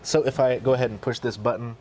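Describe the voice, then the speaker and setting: A man walks through a product demonstration in an easygoing, step-by-step style. His voice sits at a medium pitch, and he explains each feature in plain, practical terms. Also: fairly even intonation, quick pace, a little mellow, slight background noise. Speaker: tutorial presenter.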